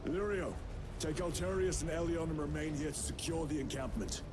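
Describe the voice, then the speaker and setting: An actor playing a stern veteran super-soldier lieutenant who gives terse, commanding orders in a video game. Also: male voice, a little high, normal speed, background noise, close to an everyday voice. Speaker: space marine lieutenant. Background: loud game score music.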